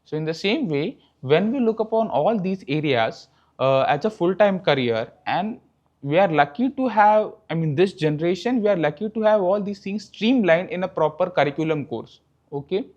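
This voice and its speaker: A man's voice: high-pitched, animated, moderate pace, a little mellow, highs cut off. A young Indian man in his twenties whose first language is Marathi, speaking Indian English as a professor answering interview questions, checking in with "okay" after his points. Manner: explanatory, says "okay" often after points. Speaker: guest, professor.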